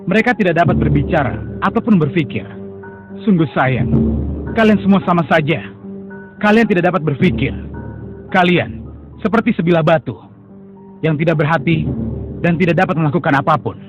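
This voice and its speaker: A man's voice: fairly high pitch, normal speed, pauses often, muffled recording, over music, lightly acted. A man voicing a steadfast young prophet in a dubbed cartoon, speaking firmly and reproachfully. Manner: firm and reproachful.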